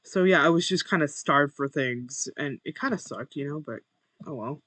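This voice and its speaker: Young male gamer, monotone delivery, slightly high voice, dry close sound, rambling casual commentary. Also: moderate pace, no echo. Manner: talks to himself while playing. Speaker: gamer recording his first video.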